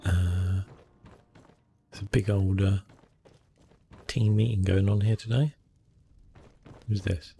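A man giving soft, hushed ASMR gameplay commentary in a relaxed, unhurried manner. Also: deep voice, gravelly, slight background noise. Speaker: gaming streamer, host.

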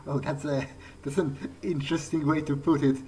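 A man's voice: on the high side, steady tone, normal speed, a little mellow, faint music behind. A man doing in-character game commentary, musing aloud in a hesitant way. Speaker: gamer roleplaying a character.

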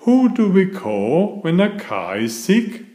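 Man as an excitable little girl pig, raised pitch. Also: takes it slowly, reverberant, full character voice. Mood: puzzled.

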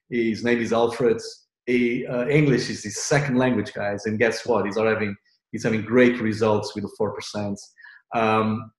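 A man's voice: on the low side, darkish tone. A man in his thirties hosting a video-call interview, upbeat, slangy and joking as he introduces his guest. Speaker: host. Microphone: video call webcam. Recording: echoey room on webcam.

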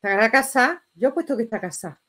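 A middle-aged woman with a Spanish accent from Spain, giving casual reaction commentary in an expressive, exclamatory way. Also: a little high, racing, over music. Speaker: reaction video host. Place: small bedroom indoors.

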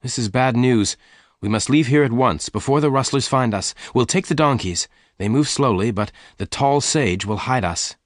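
A man's voice: medium pitch, normal speed, no echo, studio quality, voice pushed up, natural voice. A man reading the part of a decisive, protective young cowboy in a storybook, firm and urgent. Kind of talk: dramatised audiobook dialogue.

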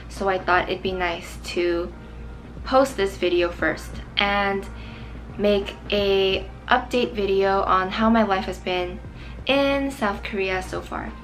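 A young woman in her twenties chatting to camera while doing her makeup, her voice a little strained and hoarse from overuse. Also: medium pitch, a bit bright, rough recording. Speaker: vlogger. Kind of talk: casual makeup chat to camera. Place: small bright room indoors.

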